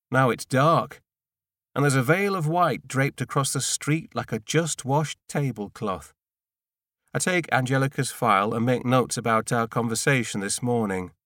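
A man reading a first-person novel as an audiobook narrator, measured and deadpan. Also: medium pitch, dry recording, clean studio sound.

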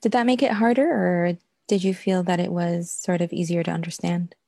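A woman's voice: fairly low pitch, fairly even intonation, moderate pace, warm tone, no echo, clean studio sound. A woman interviewing a guest, asking probing questions with hesitant ums along the way. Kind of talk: casual interview.

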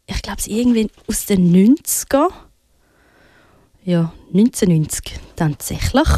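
A woman's voice: mid-range voice, darkish tone, dry recording. A woman with a Swiss German accent presenting music casually and unscripted on radio, often hesitating as she searches for words.

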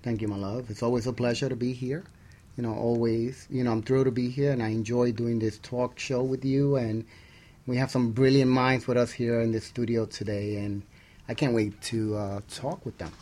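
A man's voice: mid-range voice, little pitch movement, moderate pace, dark tone. Upbeat middle-aged male radio co-host. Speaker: radio talk show co-host. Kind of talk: chatty radio hosting with some scripted reading.

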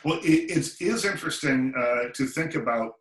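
A man from Nova Scotia hosts the interview, putting curious questions with halting fillers. His voice sits at a middle pitch and rings in a roomy, echoing space. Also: expressive. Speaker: interviewer host. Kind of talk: casual interview. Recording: roomy echo.

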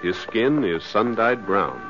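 A man announcing a 1950s radio western in a measured, dramatic, scripted manner, as a solemn teller of legends. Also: lowish voice, lively intonation. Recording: muffled 1950s radio broadcast over loud music.

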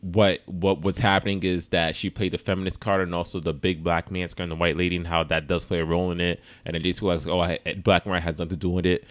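Deep-voiced male podcast host, dry close sound. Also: level tone, fast, few pauses, fairly bright tone, no echo. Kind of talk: casual sports talk podcast.

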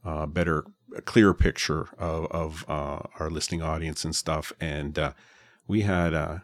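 Very deep-voiced male podcast host. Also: little pitch movement, normal speed, no room sound. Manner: friendly, wraps up the show addressing listeners. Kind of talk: casual podcast chat.

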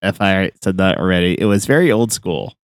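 Laid-back man with a lively voice. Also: deep voice, no room sound, studio quality. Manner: laid-back, quick agreeing interjections. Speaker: comedy club co-host. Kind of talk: casual co-host chat. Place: comedy club.

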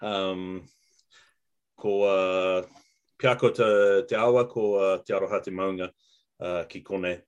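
A man from New Zealand, an ecologist, introducing himself hesitantly with many pauses and fillers. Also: low-pitched, fairly even intonation, clear, resonant tone. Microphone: video call.

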